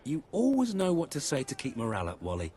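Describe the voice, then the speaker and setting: A cheeky, animated soldier. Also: male voice, mid-range voice, fast. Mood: teasing. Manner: sardonic, jokey. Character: cheeky, irreverent male soldier sidekick. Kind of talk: video game cutscene acting.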